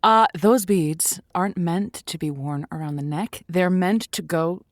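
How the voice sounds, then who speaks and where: An actress playing a patient, slightly awkward radio advice host with a halting, understated delivery in a comic audio drama. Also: female voice, lowish voice, dry recording, studio quality, lightly acted. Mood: tactful, bemused.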